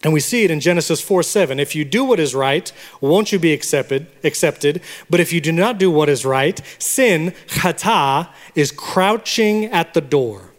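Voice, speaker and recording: A man's voice: fairly high pitch, lively intonation, normal speed, few pauses. A man of Puerto Rican origin preaching a sermon as pastor, teaching words and having the congregation repeat them. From Puerto Rico. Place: church sanctuary. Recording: long reverb of a large hall.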